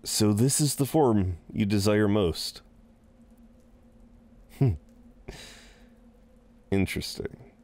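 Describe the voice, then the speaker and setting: A man playing an amused, seductive incubus in an intimate roleplay audio drama, teasing and soothing in an unhurried, halting way. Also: fairly low pitch, a little mellow. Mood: calm, curious. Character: amused, reassuring, seductive male incubus innkeeper.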